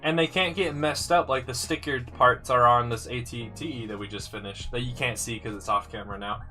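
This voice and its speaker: A man's voice: medium pitch, normal speed, pushed and bright. A man with a slightly congested voice, chatting casually on a live stream as he builds sets. He thinks aloud and answers viewers' comments. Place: indoors, his room. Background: faint music playing.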